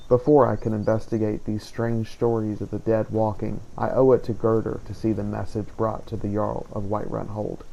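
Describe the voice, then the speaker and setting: A man reading a solemn, measured in-character journal as host of a fantasy game playthrough. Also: lowish voice, vocal fry, mellow, slight background noise, poor audio. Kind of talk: in-character scripted journal narration.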